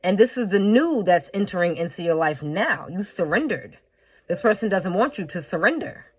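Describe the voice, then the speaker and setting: A woman's voice: mid-range voice, expressive, normal speed, darkish tone, husky, soft background music. A woman giving a casual tarot reading in a frank, blunt way. She swears freely, laughs in asides, repeats phrases for emphasis and sniffles from a slight head cold. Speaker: tarot reader.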